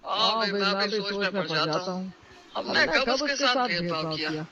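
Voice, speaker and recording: A man's voice: high voice, lively intonation, unhurried, pushed and bright, highs cut off, slight background noise, full character voice. A man playing a kindly, earnest middle-aged father-in-law in a Hindi moral-story cartoon.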